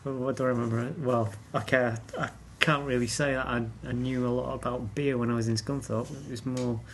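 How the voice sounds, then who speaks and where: A laughing, talkative man in a relaxed conversation. He speaks at a middle pitch. A faint hiss sits behind his voice. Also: fairly quick. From England. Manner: laughs often, chatty. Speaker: guest. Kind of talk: casual chat.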